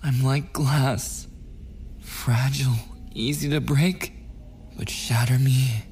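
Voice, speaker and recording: An actor playing a possessive, obsessive and menacing young boyfriend in an intimate ASMR audio roleplay, slipping into breathy whispers. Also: male voice, medium pitch, fairly even intonation, takes it slowly, darkish tone, soft background music. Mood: wounded devotion.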